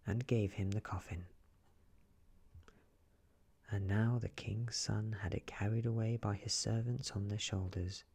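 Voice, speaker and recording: A man softly reads a fairy tale for ASMR at a slow, unhurried pace. His voice is extremely breathy and husky with a creaky edge, and it often drops into a whisper. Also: medium pitch. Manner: gentle, hushed, often slipping into whisper. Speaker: ASMR storyteller. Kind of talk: soft-spoken ASMR fairy tale reading.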